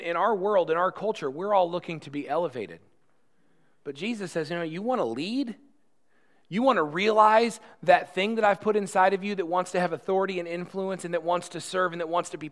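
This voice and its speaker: A man's voice: high voice, fairly quick. Earnest forty-something man preaching. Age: 40s. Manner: conversational and earnest, with rhetorical questions and self-deprecating asides; repeats words and restarts often. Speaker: pastor. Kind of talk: sermon.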